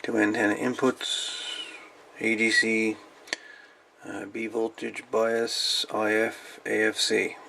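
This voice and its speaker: Gravelly low-voiced man, casual video host. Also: monotone, slow, bright, forceful tone, slight background noise. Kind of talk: casual show-and-tell of salvaged radio parts.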